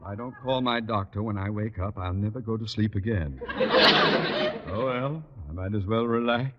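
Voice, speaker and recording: A man's voice: on the low side, moderate pace, narrow, tinny audio, lightly acted. An actor playing a pompous, easily panicked middle-aged father and boss in a theatrical 1940s radio comedy style. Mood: resigned. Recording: noisy old radio recording, hall reverb.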